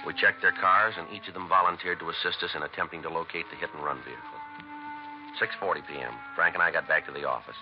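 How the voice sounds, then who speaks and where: Very deep, clipped police detective. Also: male voice, fast, music in the background. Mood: matter-of-fact. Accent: American. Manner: clipped, low-key, deadpan. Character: terse, businesslike male police detective. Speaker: police sergeant and narrator. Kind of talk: 1950s radio police drama acting. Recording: dull, noisy 1950s radio recording.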